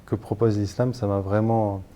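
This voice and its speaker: A man in his thirties from northern France speaks in a low, mellow voice as an interview guest. He pauses often, restarting sentences and filling gaps. A faint hiss sits under the recording. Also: little pitch movement. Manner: hesitant, many fillers and restarts. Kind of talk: interview, personal story.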